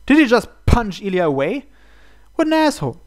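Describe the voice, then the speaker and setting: A man's voice: high-pitched, very animated, a little fast. A man reading visual novel narration aloud dramatically, as a let's player.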